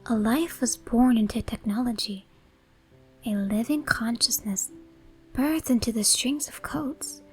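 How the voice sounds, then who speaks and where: A woman's voice: a little high, unhurried, breathy edge, soft background music. A woman playing an ageless, worldly shaman with a teasing, theatrical manner in a horror-comedy audio drama. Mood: mysterious, reflective.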